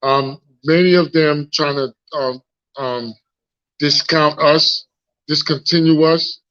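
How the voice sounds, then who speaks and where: Guest, man in his 40s, Haitian accent, frank and reflective, high-ish voice, heard over muffled phone audio. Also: steady tone, takes it slowly, fairly bright tone. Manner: frank and reflective, repeats words while searching for them. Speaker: guest, founder. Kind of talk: casual live interview.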